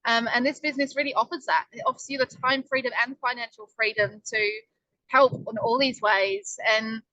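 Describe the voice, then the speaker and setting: A woman's voice: fairly high pitch, fairly even intonation, moderate pace, sharp tone, no room sound, poor audio. A woman presenting a casual webinar in a chatty, upbeat way, with lots of ums. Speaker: online business presenter.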